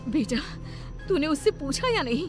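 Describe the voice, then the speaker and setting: A woman's voice: sits high, big pitch swings, normal speed. A woman playing an anxious, emotional older mother in a Hindi cartoon melodrama, speaking emphatically. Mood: alarmed. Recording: very loud background music.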